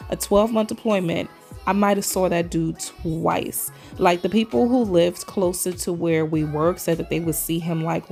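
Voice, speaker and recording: A chatty woman in her thirties from Trinidad talks viewers through her work in a relaxed voice-over, her low voice rough with creak. Her throat still sounds a little scratchy after losing her voice. Soft music plays faintly behind her. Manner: chatty, addresses viewers as "y'all" often. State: recovering voice, slight throat tickle. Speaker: nail vlogger.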